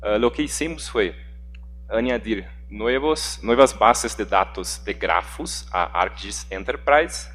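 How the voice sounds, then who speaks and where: Low-voiced man, halting, echoing hall. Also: lively intonation, a bit bright. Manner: halting, repeats words, laughs with the audience. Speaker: guest presenter. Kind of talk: conference presentation. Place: large conference hall. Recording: long hall reverb with faint hum.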